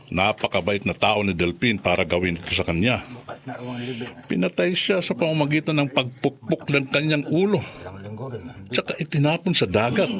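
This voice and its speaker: A man's voice: mid-range voice, fairly bright tone, slight background noise. A man acting a solemn, grieving elderly grandfather in a Filipino radio horror drama. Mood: troubled. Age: older.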